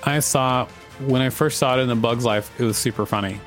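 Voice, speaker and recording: A man's voice: mid-range voice, a little fast, faint music behind. A man co-hosting a podcast, reading an article aloud with informal, teasing banter. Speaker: podcast co-host.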